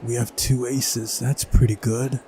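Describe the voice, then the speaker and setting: A man's voice: medium pitch, little pitch movement, unhurried, warm tone, slight background noise, rough recording. A man doing a visual novel playthrough, switching between reading narration aloud and casual commentary.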